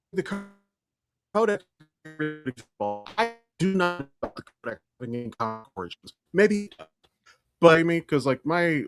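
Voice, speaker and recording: A man co-hosting a podcast, chatting casually and emphatically with opinions and plenty of swearing. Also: on the high side. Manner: animated, emphatic, freely profane. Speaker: podcast co-host. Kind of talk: casual opinionated pop-culture chat.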